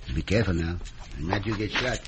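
An actor playing an excitable, simple, loyal Mexican sidekick in an old radio western, with broken English and repeated words. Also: male voice, deep voice, fast. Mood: fussing, eager. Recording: noisy old radio recording.

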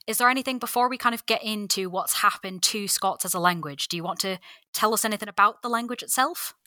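A woman's voice: mid-range voice, sharp tone, no room sound, clean studio sound. A woman hosting an interview podcast, speaking in an articulate, enthusiastic way. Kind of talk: scripted podcast introduction and interview.

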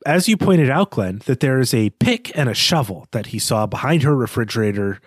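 A man's voice: medium pitch, lively intonation, normal speed, no echo, studio quality. A man co-hosting a podcast, talking through a literary analysis in a thoughtful, hesitant, conversational way. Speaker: podcast co-host.

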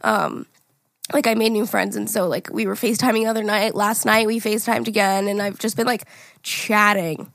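A young woman co-hosting a podcast, with a raspy morning voice, chatting casually, laughing often and swearing freely. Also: medium pitch, flat delivery, a little fast, fairly bright tone, no echo. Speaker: podcast co-host. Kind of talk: casual chat.

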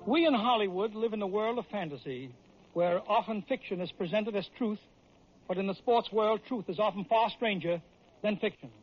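Dramatic high-voiced American radio storyteller, man. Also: moderate pace, slight background noise. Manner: emphatic, theatrical delivery. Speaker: radio storyteller and sports host. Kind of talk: dramatic scripted narration, old-time radio. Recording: dull vintage radio recording.

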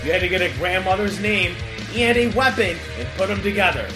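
A man co-hosting a podcast, making an energetic announcement. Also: high voice, fairly quick. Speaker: podcast co-host. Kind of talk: scripted announcement. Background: music.

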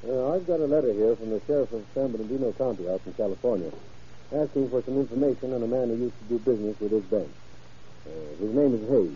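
An actor as a brisk police investigator in an old radio crime drama. He fires off casual, clipped questions at a rapid pace. The recording sounds dull and aged. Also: medium pitch, dark tone, breathy edge, lightly acted. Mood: businesslike, inquiring. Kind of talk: 1940s-50s radio crime drama acting.

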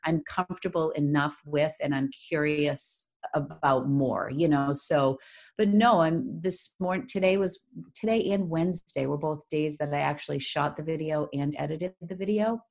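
A woman's voice: low-pitched, normal speed, darkish tone. A woman in her forties from Massachusetts, co-hosting a podcast in rambling casual chat with frequent laughs. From Massachusetts, USA. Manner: chatty, rambling, laughs often. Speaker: podcast co-host.

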